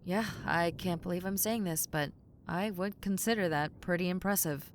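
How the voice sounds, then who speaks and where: A woman's voice: fairly low pitch, moderate pace, a little hiss or hum, lightly acted. A woman playing a centuries-old tomboy succubus in an audio roleplay, wry and flustered but kind, talking casually to the listener. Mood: baffled.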